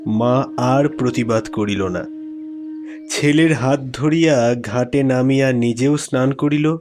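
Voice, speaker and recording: A man narrating a classic literary story in a measured, expressive, dramatic way. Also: medium pitch. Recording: music behind the voice.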